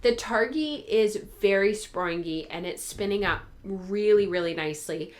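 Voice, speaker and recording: A woman's voice: medium pitch, a little slow. A woman hosting a craft vlog, chatting casually and thinking aloud in a rambling, informal way, her voice a little tired from a cold. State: getting over a cold, voice tiring. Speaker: host of a spinning and fibre craft show. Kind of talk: casual chat to viewers.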